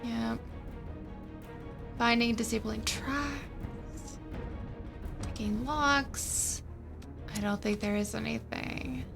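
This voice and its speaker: A woman with a fairly high voice plays a tabletop roleplaying game at a slow, unhurried pace. She thinks aloud in casual chat, and music is clearly audible behind her. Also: steady tone. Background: clearly audible background music.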